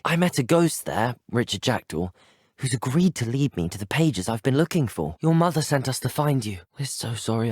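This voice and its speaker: Courteous young British hero, lively intonation. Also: male voice, fairly low pitch, husky, no room sound, studio quality. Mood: earnest, then gentle, concerned. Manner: courteous, articulate, earnest. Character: polite, earnest, determined teenage male student wizard, a game hero. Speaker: video game player character. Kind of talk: scripted video game dialogue.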